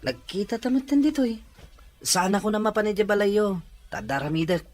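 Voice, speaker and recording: A man's voice: sits high, a little fast. A man playing a hardworking, stubborn young farm laborer with a Filipino accent in an audio drama. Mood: evasive. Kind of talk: Ilocano audio drama acting.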